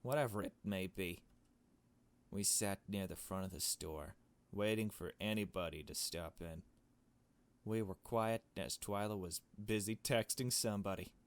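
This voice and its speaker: A man with a fairly low voice narrates a visual novel as he plays. He moves between reading the text aloud and making casual, blunt remarks of his own. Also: unhurried, clean tone. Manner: casual, blunt asides with swearing. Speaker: gaming video host.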